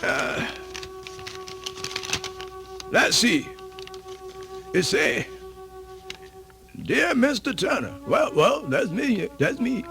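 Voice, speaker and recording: A man playing a folksy, cheerful old country storyteller, stammering and muttering in a gravelly voice for radio theatre. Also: very high-pitched, very animated, over music, heavily acted. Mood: curious, muttering. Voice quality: creaky, gravelly. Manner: stammering, muttering, drawn-out rustic talk. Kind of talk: radio theatre character acting.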